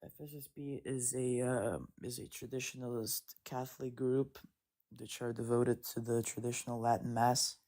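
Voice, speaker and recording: A man in his early twenties chatting casually as a caller, hesitant and halting in his delivery. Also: medium pitch, little pitch movement, dark tone. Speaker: guest caller. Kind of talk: casual chat.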